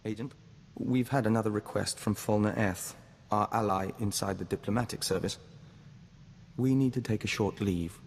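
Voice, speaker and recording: An actor playing a calm, dutiful diplomat bound to a hive mind, speaking formally in video game dialogue. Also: male voice, fairly low pitch, big-room sound, a little hiss or hum, close to an everyday voice. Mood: earnest, subdued. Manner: formal, measured, speaks of himself as we.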